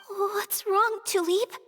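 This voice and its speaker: Very high, anxious little daughter. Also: female voice, bright, forceful tone, exaggerated voice. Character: anxious young daughter, sweet and vulnerable. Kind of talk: dramatic video game voice acting. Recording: long reverb, music underneath. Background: music.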